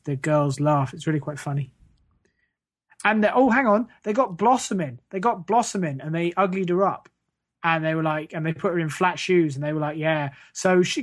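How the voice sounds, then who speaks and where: A podcast co-host with a medium-pitched voice in casual conversation. The delivery is dry and teasing, with a mock-earnest way of making points. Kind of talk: casual chat.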